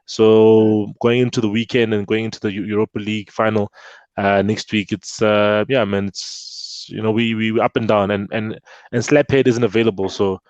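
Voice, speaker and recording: Man, co-host, low voice, hesitant and rambling, dull dry mic. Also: moderate pace, dry recording. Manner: hesitant, many fillers and restarts, excitable jokes. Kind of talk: casual football chat.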